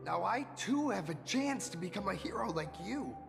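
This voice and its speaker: A man's voice: sits high, very animated, moderate pace, heavily acted. A man playing an earnest, self-doubting young rookie hero in a video game, speaking in a humble, hesitant manner. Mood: hesitant, confessional. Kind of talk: animated game voice acting. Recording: long reverb, clear music behind.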